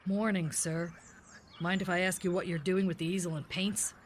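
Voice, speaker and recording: A woman as hard-boiled detective, lowish voice, deadpan, clipped, narrating, parody police radio drama. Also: moderate pace, a little hiss or hum, lightly acted.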